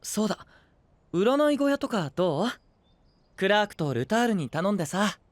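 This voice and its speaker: A man playing a gentle, curious, polite young knight in an anime voice drama, speaking softly with calm teasing. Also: high-pitched, normal speed, no room sound. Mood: relaxed, chatty.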